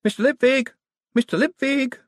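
Male narrator as young clerk, high-pitched, flustered, breathless, urgent, storybook character. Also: big pitch swings, brisk, breathy edge, no room sound, clean studio sound, full character voice. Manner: urgent calling out.

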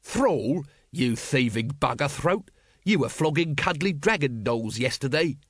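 Audiobook role: disgruntled male guard captain, English, acted by a man, middle pitch, gloomy and exasperated, dry recording. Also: lively intonation, moderate pace, no echo, close to an everyday voice. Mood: exasperated, angry.

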